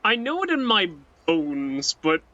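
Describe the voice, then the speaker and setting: Slow, bright-voiced man rambling over gameplay. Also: sits high, huge pitch swings. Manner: rambling, frequent "right?" tags and restarts. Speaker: let's play host. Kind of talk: casual gameplay commentary. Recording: muffled with faint background hiss.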